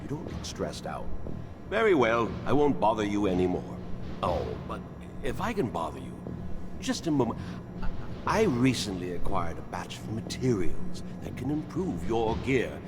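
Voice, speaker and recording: An actor playing a kindly, absent-minded older medic in a video game, fussy and rambling with quaint turns of phrase. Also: male voice, on the low side, sing-song, moderate pace, music in the background. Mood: fussy, affectionate. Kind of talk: scripted video game dialogue.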